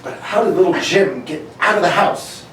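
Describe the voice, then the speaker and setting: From across a very echoey room comes a bossy old-school police inspector played by a man. The delivery is blustering and sputtering, fairly high for a man and swinging widely in pitch, in a comic radio play reading. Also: animated, moderate pace, a bit bright. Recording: very echoey room, distant mic, faint noise.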